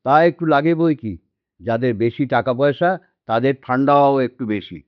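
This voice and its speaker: In a dry, slightly dull recording, an actor plays an old Bengali father. He is resigned and sardonic, with a medium male pitch, in radio drama style. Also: moderate pace, dry recording, lightly acted. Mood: wry, sardonic. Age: older.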